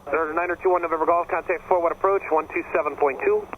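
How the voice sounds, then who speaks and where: A man on air traffic control radio speaks extremely quickly in a fairly high voice. The transmission is dull and carries faint noise. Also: steady tone. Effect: aircraft radio. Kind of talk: rapid radio traffic advisories.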